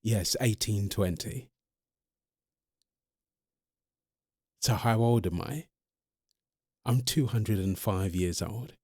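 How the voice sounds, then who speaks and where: Male actor as ancient vampire, low crisp voice, earnest, hesitant, polite, audio roleplay. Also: slow pace, a little mellow, no room sound, studio quality, full character voice. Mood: earnest, insistent. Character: earnest 205-year-old male vampire in love. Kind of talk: ASMR audio roleplay, one-sided interview.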